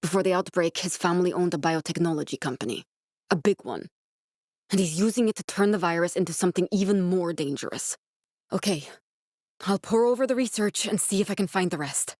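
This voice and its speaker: A woman voicing an earnest, guarded young female scientist survivor in a video game, speaking in a measured, serious way. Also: on the low side, a little mellow, no echo, clean studio sound, lightly acted. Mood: earnest, explanatory. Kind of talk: dramatic video game dialogue.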